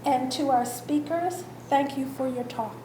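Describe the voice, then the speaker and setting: A woman making announcements as organizer at a public talk, halting and restarting her phrases, in an event room. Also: sits high. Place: event room in a historic house. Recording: roomy echo with faint ambient noise.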